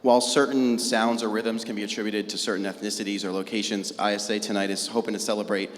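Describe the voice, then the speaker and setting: A man's voice: fairly low pitch, fairly even intonation, fast, big-room sound. A man emceeing a student show, chatty and playful, full of filler words as he banters on stage. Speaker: event emcee. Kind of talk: scripted comic stage banter. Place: large hall with bleachers.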